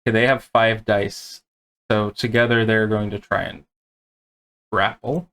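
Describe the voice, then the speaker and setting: A man acting as game master, explaining rules and narrating tabletop play in a halting, unscripted way. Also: fairly low pitch, little pitch movement, fairly bright tone, slight background noise, rough recording.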